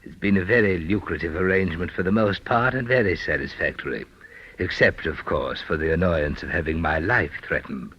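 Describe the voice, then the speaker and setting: An actor in an old-time radio detective drama playing a shifty, smooth-talking fake mind-reader and blackmailer with a husky voice. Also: male voice, low voice, brisk. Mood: smooth, guarded. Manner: formal, oily phrasing that slips into slang. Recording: dull, lo-fi old radio recording.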